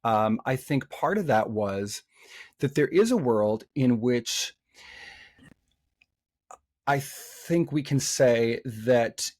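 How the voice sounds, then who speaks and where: A man of middle pitch talks thoughtfully as a podcast guest, circling his points with plenty of "you know" and "um". His voice sits close and dry against the mic, with no room sound around it. He explains at length, unhurried and reflective. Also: animated, darkish tone, no echo. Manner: thoughtful, many fillers and restarts. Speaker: vocal coach guest. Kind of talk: conversational podcast interview.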